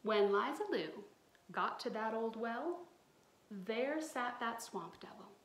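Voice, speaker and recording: A woman's voice: on the high side, animated, slow pace. A woman in her thirties reading a storybook aloud expressively to children, recorded at home on a device at arm's length. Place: small bright room at home. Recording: roomy echo, some background noise.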